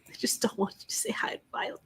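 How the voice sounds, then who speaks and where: A woman's voice: quick pace, whispered. A young woman hosting a casual livestream, reading out viewer chat and greeting people by name. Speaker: livestream host.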